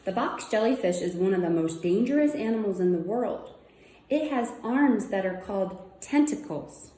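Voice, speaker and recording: Female read-aloud presenter for kids' nonfiction, mellow and unhurried, simple wondering asides, roomy echoing sound. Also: medium pitch, lively intonation, a little hiss or hum. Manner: simple child-directed phrasing, wondering aloud. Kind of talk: reading a children's nonfiction book aloud with casual asides.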